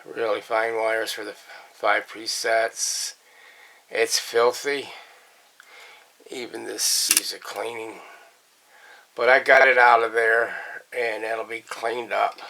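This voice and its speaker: A man's voice: fairly low pitch, steady tone, slow pace, vocal fry, pauses often, bright, forceful tone. A man talking casually and plainly in a colloquial way as he hosts a hands-on restoration vlog from his workshop. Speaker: vintage radio restorer and host. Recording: faint background noise.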